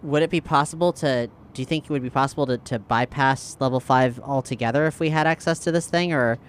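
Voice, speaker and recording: A man's voice: medium pitch, fast talker. A man talking casually as a tabletop role-playing player, reasoning through plans aloud. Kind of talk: casual game planning chat.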